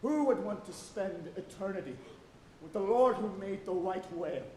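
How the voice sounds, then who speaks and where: Man acting vengeful old whaling captain, stage tragedy, theatrical and grim, highish pitch, noisy live auditorium recording. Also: lively intonation, moderate pace, dark tone, reverberant. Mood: moved, bitter. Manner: declamatory, theatrical, solemn, archaic diction.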